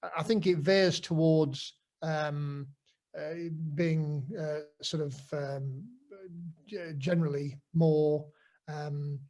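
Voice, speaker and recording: Halting Nottingham man with a higher voice. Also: fairly even intonation, a little mellow. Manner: halting, full of ums and restarts. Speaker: interviewer. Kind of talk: casual conversation.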